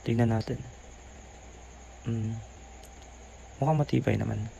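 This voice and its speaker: A Filipino man narrates a casual review in a subdued, gravelly voice with fairly even intonation. He keeps his volume down, and a faint noise sits behind him. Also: medium pitch, takes it slowly, rough recording. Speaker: product reviewer. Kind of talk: casual voice-over review mixing Tagalog and English. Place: boarding house room, voice-over.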